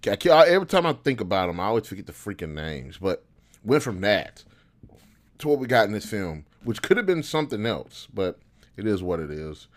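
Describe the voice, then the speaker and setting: A man hosting a show, giving casual, opinionated commentary in slangy, informal speech as he thinks aloud. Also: lowish voice. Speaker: host of a show on video game movies.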